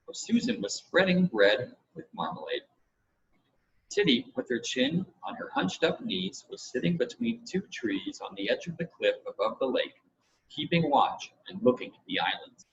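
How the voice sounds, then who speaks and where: A man reading a children's story aloud as scripted audiobook narration, in an expressive, measured way. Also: mid-range voice. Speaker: audiobook reader. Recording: dull audio with faint noise.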